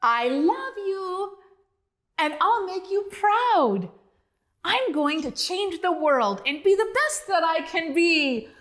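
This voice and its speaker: A woman voicing a brave, excitable little male frog in a children's storybook, with drawn-out, exclamatory cheering. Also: very high-pitched, pitched above the performer's own voice, exaggerated voice. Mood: earnest.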